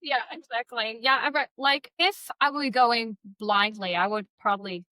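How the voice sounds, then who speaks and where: A woman's voice: a little high, normal speed, sharp tone, poor audio. A woman hosting a podcast, asking interview questions in a friendly way and often backing the guest with "yeah". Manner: friendly, frequent "yeah" backchannels. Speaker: podcast host.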